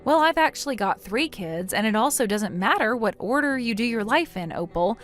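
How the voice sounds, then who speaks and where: A woman's voice: fairly high pitch, expressive, moderate pace, a bit bright, faint music behind. Woman acting out a stern, reproachful wronged bride. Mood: firm. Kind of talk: acted dialogue in a melodramatic story.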